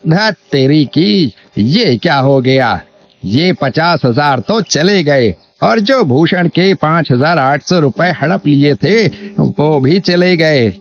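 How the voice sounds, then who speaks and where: A man's voice: on the high side, expressive, slow. A man playing a greedy, falsely humble village landlord in a cartoon moral story, with an oily, over-polite manner. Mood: dismayed. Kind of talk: Hindi animated moral story acting. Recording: dull lo-fi sound, faint music bed.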